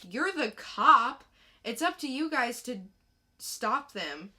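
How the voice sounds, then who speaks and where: A woman acts out a streetwise teenage boy from a comic-book drama. He is guarded and defiant, talking in casual slang. The voice is fairly high, bright and sharp. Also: big pitch swings, slow pace, heavily acted. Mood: defensive, incredulous.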